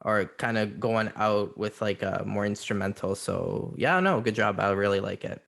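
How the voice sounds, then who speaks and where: Low gravelly-voiced young guitarist. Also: male voice, moderate pace. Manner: relaxed, appreciative. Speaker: guest guitarist.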